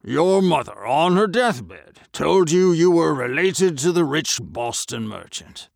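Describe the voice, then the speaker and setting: Man reading as a scornful, sickly old merchant for a storybook; raised pitch, forceful. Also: big pitch swings, slow, creaky, no room sound, clean studio sound, heavily acted. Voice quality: sharp and scornful, sly at the end.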